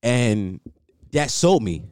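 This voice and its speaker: A young man in a casual monologue, rambling with many fillers and casual swearing, his voice on the higher side for him. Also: very animated, takes it slowly, darkish tone, no echo, clean studio sound. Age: young adult. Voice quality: higher-toned voice.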